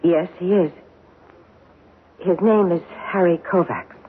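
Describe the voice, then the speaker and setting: Earnest loyal wife, tinny radio drama. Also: female voice, on the low side, slow, husky. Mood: steady, determined. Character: earnest married woman loyal to her accused old flame. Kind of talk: 1970s radio drama acting. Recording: muffled, noisy 1970s radio broadcast recording.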